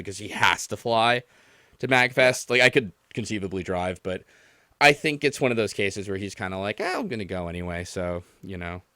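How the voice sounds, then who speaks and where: A man's voice: fairly low pitch, pushed and bright, smooth, no room sound. Casual male podcast host, close dry sound. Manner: jokey, ribbing. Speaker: podcast host taking callers. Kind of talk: casual chat.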